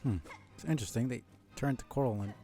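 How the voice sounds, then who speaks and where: Casting: male game streamer, gravelly and relaxed, casual live commentary. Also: medium pitch, quick pace, faint music behind.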